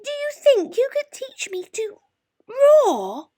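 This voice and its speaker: A woman voicing a tiny, meek male mouse with a squeaky, eager, breathless voice in a storybook reading. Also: huge pitch swings, slow pace, warm tone, slight background noise, full character voice. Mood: timid.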